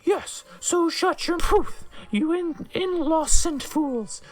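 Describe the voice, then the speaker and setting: A man voicing a stern older female schoolteacher who scolds in drawn-out yells. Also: very high-pitched, voice pushed up, rough recording. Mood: furious.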